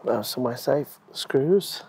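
A man in his thirties with a hoarse voice, relaxed and explanatory, demonstrating an installation outdoors in a garden on a lapel mic. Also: medium pitch, takes it slowly, dry recording. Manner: relaxed, explanatory, with frequent ums and so's. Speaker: EV charger installer and channel host. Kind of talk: hands-on installation demo. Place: outdoor garden beside a wooden shed.

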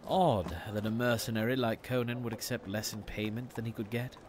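A man's voice: mid-range voice, steady tone, fairly quick, noisy background, lightly acted. A man playing a thoughtful, courteous and wry nobleman in a fantasy audiodrama, speaking in formal phrases. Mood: wry, musing.

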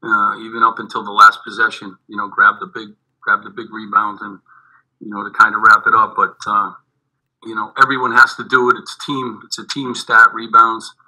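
A middle-aged man, a head basketball coach, answering postgame questions over a video call, halting and repeating his words, with many fillers. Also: on the low side, flat delivery, sharp tone, low-quality recording. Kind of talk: postgame press conference answers. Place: indoors in front of a team backdrop. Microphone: video call webcam.

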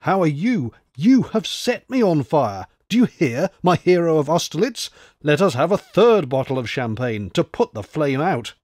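A man's voice: fairly high pitch, big pitch swings, normal speed, few pauses, darkish tone, no echo, clean studio sound, pitched above the performer's own voice. A man voicing an exuberant, slightly drunk young English gambler in an audiobook, calling out toasts. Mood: giddy. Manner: giddy, toasting, exclamatory.

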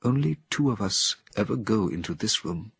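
A man voicing a learned male chimpanzee scientist who speaks solemnly and with excitement, in an audiobook reading. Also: lowish voice, moderate pace, gravelly, husky, no room sound, full character voice. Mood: excited.

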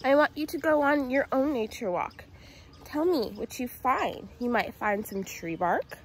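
A woman's voice: high-pitched, lively intonation, a little slow, slightly rough. A woman in her twenties talking to young children like a teacher on a nature walk, with simple sing-song phrases and questions, recorded outdoors on a handheld phone. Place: outdoors in a residential yard. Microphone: phone at arm's length.

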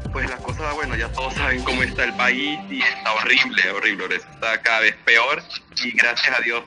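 A young Venezuelan man with a Táchira border accent, a rambling interview guest who often repeats his words. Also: mid-range voice. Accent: Venezuelan Andean, from Táchira near the Colombian border. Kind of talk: casual interview answers.

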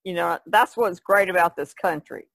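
A woman's voice: lowish voice, normal speed, creaky, a bit bright, no room sound, clean studio sound. A woman in a casual podcast discussion, plain-spoken and colloquial in the way she talks. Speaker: podcast co-host.

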